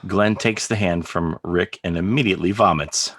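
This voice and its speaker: A man's voice: low-pitched, moderate pace, very noisy. A man reading stage directions as scripted, present-tense narration for a table read. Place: remote video call table read, dry sound.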